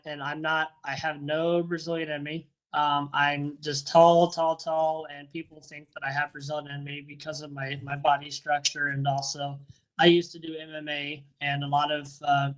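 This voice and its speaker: Man, rambling and friendly, slightly high voice, repeats himself, heard through a video call. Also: a bit bright, smooth, low-quality recording. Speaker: interviewee, first responder and dog handler. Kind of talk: casual interview answers.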